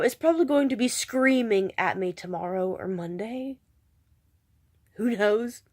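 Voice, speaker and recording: A woman with a stuffed-up, congested voice, chatting casually in a vlog and rambling with self-deprecating restarts. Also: medium pitch. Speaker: vlogger.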